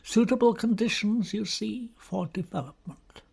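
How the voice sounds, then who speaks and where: A man reading the part of a grave, sinister middle-aged male country doctor in an audiobook, asking slow, deliberate, probing questions in a breathy voice. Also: high voice, darkish tone, pitched above the performer's own voice, heavily acted.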